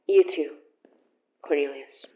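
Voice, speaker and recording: A woman's voice: low-pitched, slow. A woman playing an anxious, grateful character in an audio drama, recorded with roomy, dull sound. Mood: uneasy, grateful. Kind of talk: audio fiction drama acting.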